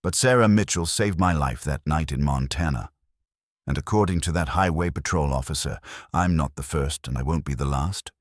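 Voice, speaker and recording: A man's voice: rumbling low, normal speed, no echo, studio quality. A man narrating scripted first-person trucker horror tales in a dramatic, suspenseful way.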